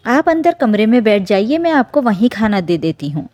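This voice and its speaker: Woman acting gentle, placating elderly wife, mellow voice. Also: on the high side, very animated, moderate pace, no room sound. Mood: quietly consoling. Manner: soothing, placating. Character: gentle elderly wife and mother, patient and protective.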